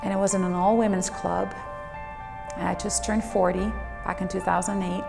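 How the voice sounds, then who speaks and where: A woman in her forties shares a reflective personal story. Her voice has a noticeable fry and she speaks without hurry, leaning on key words. It rings with long reverb, and music plays clearly underneath. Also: lowish voice, level tone, moderate pace. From Netherlands. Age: 40s. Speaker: fitness instructor and speaker.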